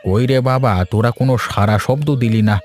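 A man's voice: medium pitch, steady tone, normal speed, a little mellow, natural voice. A man voicing a caring, watchful older village father in a cartoon story. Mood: fussing. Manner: fatherly, mildly fussing. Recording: music bed behind.

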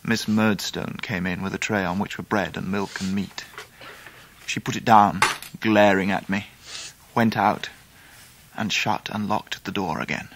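An actor playing a grown man recalling his childhood, husky and earnest, with an English accent in radio drama narration. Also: lowish voice, steady tone, a bit bright, a little hiss or hum. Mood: sombre. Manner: reflective, earnest, measured. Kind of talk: dramatised first-person narration.

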